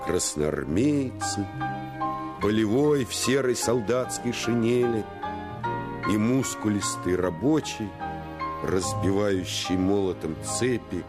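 A man narrating a Russian radio play as someone recalling his boyhood, in a measured, solemn and wistful manner. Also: fairly low pitch, lively intonation. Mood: solemn, nostalgic. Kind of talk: scripted radio drama narration. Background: music.

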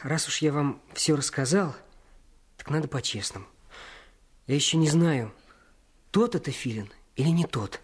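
An actor playing an earnest, determined adolescent boy sleuth in a Russian radio play, with a husky voice. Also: on the high side, expressive, normal speed, long pauses, dry recording. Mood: firm, reasoning.

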